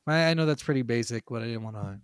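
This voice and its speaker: A man's voice: mid-range voice, rapid-fire, clean tone, no room sound, clean studio sound. A man co-hosting a casual gaming podcast, talking informally and swearing freely. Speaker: podcast co-host.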